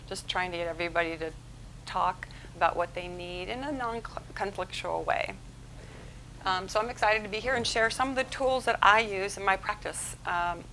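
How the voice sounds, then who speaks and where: A woman speaker at a conference, talking into a mic in a meeting room with faint background noise. She is lively and chatty, and she often breaks off and restarts her sentences. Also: mid-range voice, rough recording. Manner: energetic, chatty, self-interrupting. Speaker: guest speaker, psychologist. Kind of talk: conference presentation. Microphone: handheld mic in a room.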